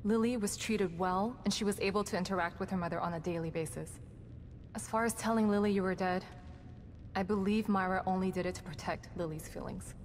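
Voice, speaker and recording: A woman playing a composed, professional staff psychologist in a horror game, measured and matter-of-fact. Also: fairly even intonation, close to an everyday voice. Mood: calm, measured. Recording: reverberant hall with rumbling noise.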